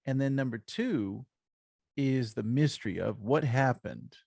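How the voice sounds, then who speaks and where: A man's voice: medium pitch, unhurried, gravelly, darkish tone, breathy edge, no room sound, clean studio sound. An American man talking casually as a comics podcast co-host, explaining things in an agreeable way. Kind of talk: casual chat.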